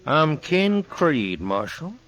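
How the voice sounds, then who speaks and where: An actor playing a menacing gunfighter in an old western radio drama, drawling, insolent and taunting. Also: male voice, fairly high pitch, sing-song, slow, a bit bright, full character voice. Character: cocky, menacing Old West gunman.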